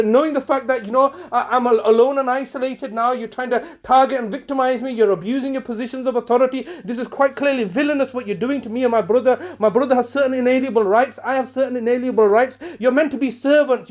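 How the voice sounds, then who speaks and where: A man leaves an indignant, accusatory voicemail, halting often on fillers and restarts. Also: extremely high pitch, a little mellow. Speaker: caller leaving a daily voicemail. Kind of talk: angry one-sided phone message.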